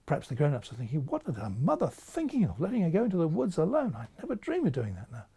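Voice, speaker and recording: A man's voice: fairly high pitch, huge pitch swings, fast talker, creaky, warm tone, breathy edge. A man speaking as an author in an interview, reflecting thoughtfully with a storyteller's careful phrasing.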